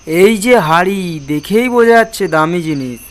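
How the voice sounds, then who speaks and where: A man's voice: high-pitched, lively intonation, normal speed. A man voicing a greedy, sneaking villager in a cartoon, talking to himself in exclamations. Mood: sly. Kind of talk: cartoon dialogue.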